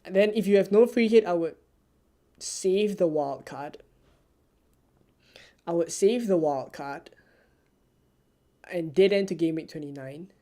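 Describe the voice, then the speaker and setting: Live stream host, soft, hushed delivery, mellow warm tone. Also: measured pace, long pauses. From Singapore. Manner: soft, low-key, ASMR-like delivery. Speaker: fantasy football streamer. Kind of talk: live Q&A stream answering viewer questions.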